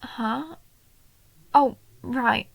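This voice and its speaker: A woman voicing a shy, sweet, nerdy goblin girl in a soft roleplay audio, hesitant and gentle, often dropping into breathy half-whispers. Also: high voice, drawn-out words, no echo, heavily acted. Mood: flustered, touched. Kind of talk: roleplay audio, soft intimate story acting.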